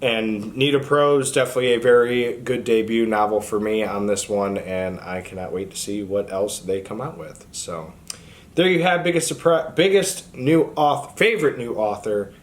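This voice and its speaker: A man's voice: on the low side, normal speed. A man hosting a casual book vlog, talking off the cuff to viewers in an informal, opinionated way peppered with filler words. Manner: informal, opinionated, frequent "like" and "honestly". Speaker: book reviewer, video host.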